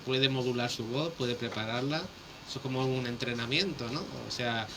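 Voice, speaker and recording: A man's voice: medium pitch, fairly even intonation, moderate pace, background noise. A man, hosting a radio interview and prompting his guest in a chatty way. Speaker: radio host.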